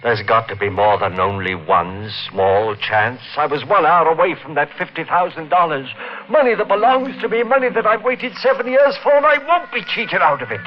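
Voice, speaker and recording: An actor playing a desperate, greedy man who pleads frantically and rises into shouts, in a 1950s radio drama. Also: fairly high pitch, animated, normal speed, slight background noise, lightly acted. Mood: frantic. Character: desperate greedy man losing his fortune. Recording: muffled old radio broadcast.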